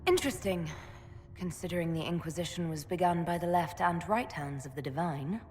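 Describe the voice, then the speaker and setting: A woman's voice: fairly low pitch, a little fast, a little mellow, slight background noise, natural voice. A woman voicing a reserved young elf mage heroine in a video game, composed, questioning and understated. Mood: cool, probing. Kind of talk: video game cutscene acting.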